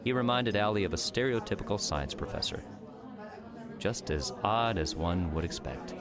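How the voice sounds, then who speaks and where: A man narrating a scripted audiobook story in a measured, dramatic storytelling manner. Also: on the low side, lively intonation, a crowd in the background.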